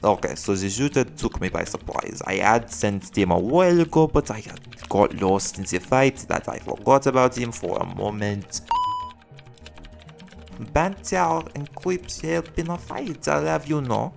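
A man's voice: mid-range voice, expressive, unhurried, gravelly, over music. A man playing a proud, bantering werelion vigilante in an audio roleplay, theatrical and formal in his wording. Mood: defensive banter.

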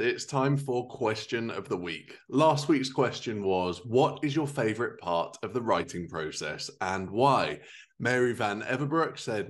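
A man in his thirties with a fairly low voice hosts a podcast. His delivery is upbeat and animated, as if presenting prepared segments to listeners. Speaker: podcast host. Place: living room at home.

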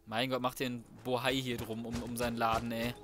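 A man's voice: mid-range voice, flat delivery, brisk, fairly bright tone, soft background music. A man giving casual let's play commentary, thinking aloud in slang with some swearing. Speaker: gaming video host.

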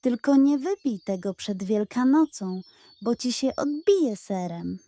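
A woman's voice: on the high side, lively intonation, unhurried, dark tone, no room sound. A woman voicing an elegant, good-humoured female cow with a teasing manner in a children's story. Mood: amused.

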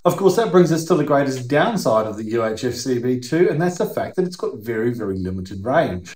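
A man's voice: mid-range voice, a little mellow. A middle-aged man with an Australian accent hosting an explanatory video in a conversational, emphatic teaching manner. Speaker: host. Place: workshop or garage, indoors. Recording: roomy, echoing indoor sound.

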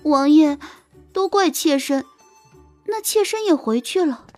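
Haughty young concubine, very high, whiny and coquettish, romance audiobook, soft music behind. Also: female voice, animated, measured pace. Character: spoiled, haughty young concubine, whining and scheming. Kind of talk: Chinese costume romance audiobook acting.

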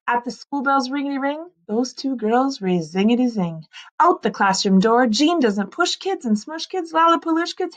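A woman in her thirties reads aloud as a teacher would to young children. Her voice sits a bit high. She is expressive, drawing out key words for dramatic effect. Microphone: computer mic on a screen-share video recording.

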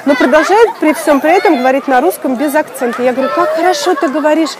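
A woman's voice: high voice, fast talker. Chatty woman, noisy crowd behind. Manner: animated, laughing often, many fillers and restarts. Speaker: video blogger. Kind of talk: casual personal storytelling.

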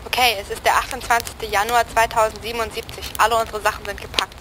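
A woman's voice: a little high, level tone, quick pace, noisy background, natural voice. A woman playing a determined young escapee recording an audio diary in an amateur drama.

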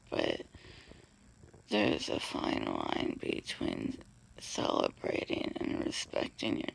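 A woman's voice: very deep voice, gravelly, a little hiss or hum, rough recording. A young woman in pain, just woken up, whispering a video diary from bed into her phone. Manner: tired, whispery, mostly unvoiced. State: in pain, groggy from waking. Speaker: vlogger. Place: in bed in a bedroom. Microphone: phone front camera at arm's length.

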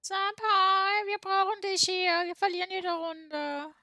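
A woman's voice: very high voice, level tone, slow, vocal fry, sharp tone, dry recording, studio quality. A woman streaming a game with friends, chatting playfully, teasing and giggling often. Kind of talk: casual gaming chat.

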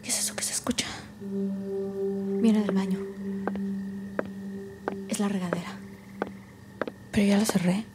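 A woman's voice: a little high, moderate pace, long pauses, heavy background noise, lightly acted. An actress playing an anxious young woman alone in an old house, with a Mexican accent, talking nervously to herself in an audio drama. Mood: frightened. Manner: nervous self-talk, muttering, breaking into whispers. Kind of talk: naturalistic audio drama acting, spoken diary.

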